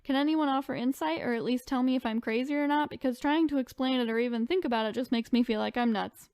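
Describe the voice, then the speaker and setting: A woman's voice: sits high, fast talker, a little mellow, clear, resonant tone, no echo, clean studio sound. A woman co-hosting a podcast, reading online stories aloud and chatting in an informal, conversational way. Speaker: podcast co-host.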